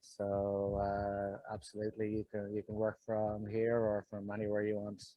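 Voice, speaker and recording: An Irish man speaks casually, as in a video testimonial. His delivery is even and hesitant, in short phrases, and the recording is dry. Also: lowish voice, normal speed, dry recording, dull audio. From Ireland. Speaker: digital nomad giving a testimonial.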